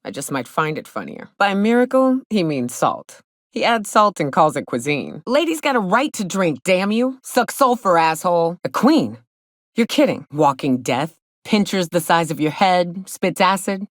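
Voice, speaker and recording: An actress playing a gruff, hard-drinking female monster hunter in a video game, sardonic and blunt, with a loose, earthy drawl. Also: on the low side, sing-song, normal speed, no echo, clean studio sound. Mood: wry, mocking, then irritated, dry, then ominous. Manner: sardonic, blunt, swaggering, colloquial swearing. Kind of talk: video game character dialogue. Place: close, dry studio recording.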